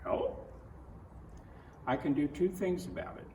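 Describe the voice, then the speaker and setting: A man from Ohio with an American accent reads a picture book aloud to children, adding his own earnest, gentle comments in halting phrases. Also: a little high. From Ohio, United States. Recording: roomy echo, distant mic, faint noise.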